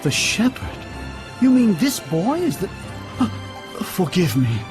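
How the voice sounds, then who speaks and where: An actor playing a humble, devout priest who speaks formally and deferentially, hesitant when flustered, in a fantasy game scene. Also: male voice, sits high, wildly swooping pitch, takes it slowly, full character voice. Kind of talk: dubbed fantasy game cutscene acting. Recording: orchestral music behind the voice.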